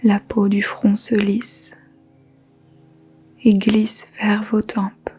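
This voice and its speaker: A woman teaching yoga nidra, speaking softly in a whispery voice and giving slow, gentle meditation instructions with long pauses. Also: medium pitch, monotone, breathy edge, soft background music. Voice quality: soft whispery voice. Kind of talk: guided meditation.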